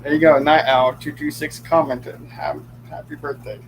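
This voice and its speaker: Young guy joking around on his livestream, kinda high voice, little hum in the background. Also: normal speed, creaky, low-quality recording. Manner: joking, laughs often. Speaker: livestream host. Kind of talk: casual livestream chat.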